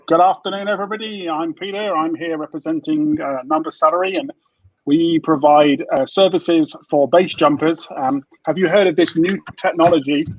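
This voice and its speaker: A British man from England improvising a mock startup pitch on a video call, hesitant and full of fillers. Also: fairly even intonation, moderate pace. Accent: British English. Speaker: party game participant. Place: home room, video call. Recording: dull dry video call audio.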